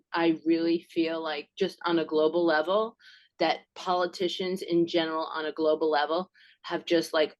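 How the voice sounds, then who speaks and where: A middle-aged woman with a clear New York accent speaks into a video call. She is informal and talkative, with a lowish voice and lots of filler words as she builds up to her question. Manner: chatty and informal, with many fillers. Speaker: audience member asking a question.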